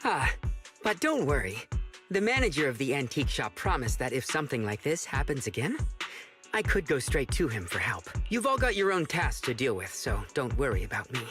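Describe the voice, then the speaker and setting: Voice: mid-range voice, sing-song, a little fast, fairly bright tone, faint music behind. A performer playing a polite, cautious robot companion in a video game, with courteous, careful phrasing. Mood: apologetic. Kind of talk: scripted video game voice acting.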